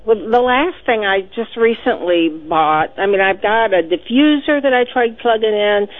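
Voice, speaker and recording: A woman's voice: mid-range voice, animated, moderate pace. A woman with an Illinois accent calling a radio show, asking plain questions. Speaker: caller. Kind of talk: phone call to a radio show. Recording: tinny phone line.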